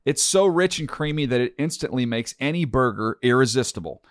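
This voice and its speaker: A man reading a scripted commercial in a smooth, upbeat pitch voice. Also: animated, brisk, no echo, clean studio sound. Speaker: ad announcer. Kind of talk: scripted ad read.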